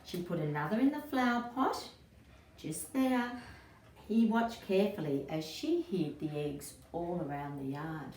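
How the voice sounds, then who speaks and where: A woman with a fairly low voice reads a picture book to young children as an early years educator. Her delivery is expressive and addresses the listeners directly. The sound is roomy and distant, with faint noise behind it. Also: measured pace. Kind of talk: children's storybook read-aloud.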